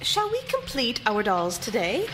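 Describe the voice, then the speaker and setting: A fussing caretaker speaks hesitantly in a fairly high, lively voice. The style is live radio drama, with faint background noise. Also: female voice, unhurried, full character voice. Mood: fussing, brisk. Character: hesitant, fussing, practical female relative and caretaker.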